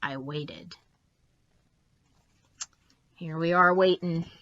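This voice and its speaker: A woman's voice: lowish voice, steady tone, drawn-out words, fairly bright tone. A woman reading a children's bedtime story aloud expressively, on a slightly dull recording.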